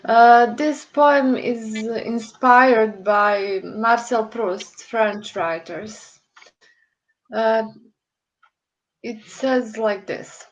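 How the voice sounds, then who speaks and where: A woman from Bosnia and Herzegovina reciting her poem in a measured way as a guest poet. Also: on the high side. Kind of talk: poetry recitation. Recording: dull lo-fi online call audio.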